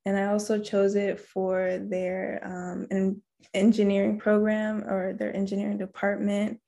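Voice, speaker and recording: A soft-spoken young woman, a college student, answering interview questions hesitantly with many pauses and fillers. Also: mid-range voice, completely flat delivery, gravelly. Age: young adult. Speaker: guest, engineering student.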